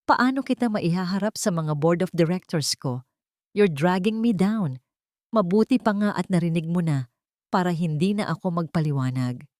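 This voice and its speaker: A man's voice: high voice, normal speed, a little mellow, no echo, clean studio sound, natural voice. A woman voicing a cold, contemptuous husband who scornfully discards his wife in an audio love drama. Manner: scornful, dismissive.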